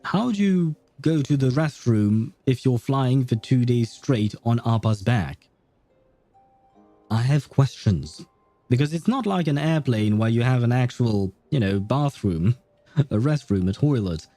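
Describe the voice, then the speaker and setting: A man chats casually on a game livestream. His voice is mellow and close, with little room sound, and he takes his time, hesitating and rambling as he goes. Music plays softly behind him. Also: medium pitch, lively intonation, a little slow, no room sound. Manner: casual swearing.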